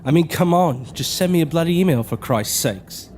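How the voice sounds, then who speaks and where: An actor playing an irritable, sarcastic younger brother who grumbles, in naturalistic audio drama dialogue. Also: male voice, a little high, animated, moderate pace, darkish tone, close to an everyday voice. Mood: irritated, sarcastic. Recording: faint background noise.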